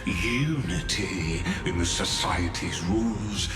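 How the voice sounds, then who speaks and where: An actor voicing an aloof male scholar in a video game, speaking in a dry, dismissive, unhurried way. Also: low voice. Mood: dry disdain. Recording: echoey room with music behind.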